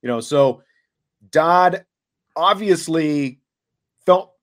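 A man hosting a sports talk show, reading and commenting in a deliberate way, laying out points step by step. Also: on the high side.

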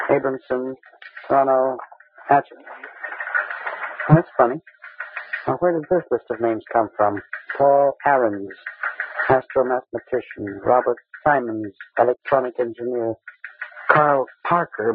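An actor playing an earnest, fussy records clerk in an old-time radio drama, polite and slightly hesitant, with excitable turns. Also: male voice, mid-range voice, a little slow, long pauses, phone-quality sound, background noise, natural voice. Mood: earnest, musing.

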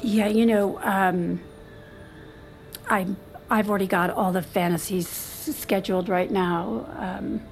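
A bitter, guarded woman in an audio drama. She talks curtly and combatively at a medium pitch, with music playing behind her. The delivery is natural and everyday. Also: steady tone, takes it slowly, creaky. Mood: cornered, hostile. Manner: curt, profane, confrontational. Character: guarded, bitter, sharp-tongued woman with secrets.

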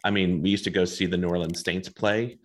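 Middle-aged host from the southeast, lowish voice, friendly, kinda stumbles into his questions. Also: fairly even intonation. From southeastern United States. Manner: friendly, halting, restarts with fillers. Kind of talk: casual interview.

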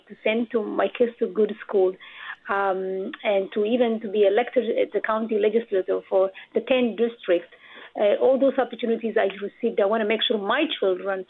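Earnest woman in her forties, gravelly edge, phone-quality audio. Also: mid-range voice. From Ethiopia. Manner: earnest, with frequent pauses and fillers. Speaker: political candidate. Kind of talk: interview answers. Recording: tinny phone line.

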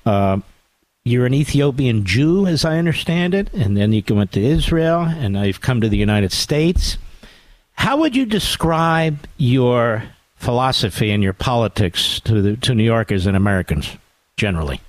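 A man's voice: medium pitch, animated, moderate pace, no room sound. A man in his sixties hosting a talk radio show, speaking emphatically and combatively.

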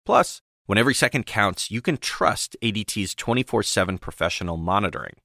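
A man reading a scripted commercial in a smooth, polished way. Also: lowish voice, animated, a little fast, pushed and bright, no echo, studio quality. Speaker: ad announcer.

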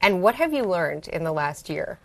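A woman's voice: fairly low pitch, very animated, moderate pace, creaky, fairly bright tone, dry recording. A woman hosting an interview podcast, upbeat and friendly, reading a scripted intro and asking questions. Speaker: podcast host.

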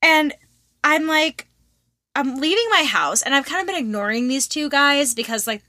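Animated, bright, high-voiced woman in casual chat. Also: normal speed, no room sound, studio quality. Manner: expressive, reactive, quotes dialogue. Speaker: podcast co-host. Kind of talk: casual chat, personal storytelling.

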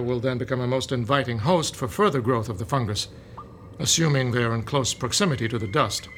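A man's voice: medium pitch, a bit bright, close to an everyday voice. An actor playing a male professor who lectures patiently about fungi in an audio drama. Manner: lecturing, explanatory. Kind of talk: modern audio drama acting.

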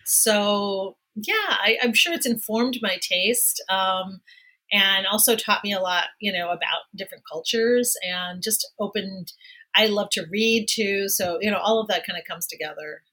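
A middle-aged woman whose first language is Spanish speaks as a film critic guest on a podcast. Her voice is bright and forward, and she talks in a chatty, reflective way, laughing often as she remembers. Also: medium pitch, unhurried, rough recording. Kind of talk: casual interview chat.

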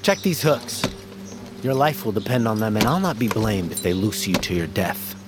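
A man's voice: on the low side, lively intonation, moderate pace, music in the background, natural voice. An actor playing a stern, proud smuggler guide in an audio drama, sardonic and formal in his phrasing. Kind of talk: dramatic audio fiction acting.

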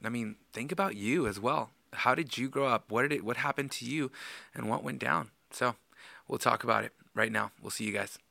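A man's voice: mid-range voice, brisk, vocal fry, pushed and bright, no room sound. A man co-hosting a faith podcast with his wife, agreeable and easygoing. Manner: agreeable, frequent backchannels. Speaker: podcast co-host. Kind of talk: casual chat.

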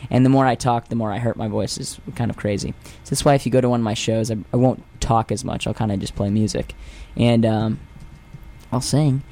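A young male singer rambles through his answers on a radio show, leaning on fillers and restarts and poking fun at himself. His speaking voice sits at an ordinary middle pitch for a man. He is picked up in a studio. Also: fairly even intonation, brisk, gravelly, mellow. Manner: rambling and self-deprecating, many fillers and restarts. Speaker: guest singer. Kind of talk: casual radio interview.

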